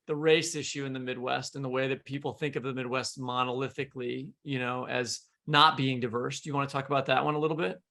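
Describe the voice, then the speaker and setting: A man's voice: medium pitch, level tone, quick pace, gravelly, hardly any pauses, bright, forceful tone. A man co-hosting a podcast, talking casually as he steers the discussion. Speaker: podcast co-host.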